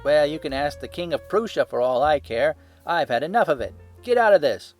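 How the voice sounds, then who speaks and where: Man acting a surly, shouting market salesman. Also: a little high, lively intonation, a little fast, soft background music, voice pushed up, close to an everyday voice. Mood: furious. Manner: blunt, combative, emphatic. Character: surly, cocksure market poultry salesman, hot-tempered, shouting.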